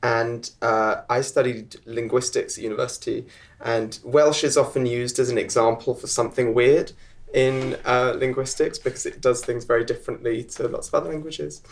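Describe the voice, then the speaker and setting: A young man talking on stage at a live panel event. He chats easily but halts a lot, with frequent ums and restarts in the middle of sentences. His voice sits at a middling pitch for a man. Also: steady tone. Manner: chatty, halting, full of ums and restarts. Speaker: filmmaker guest.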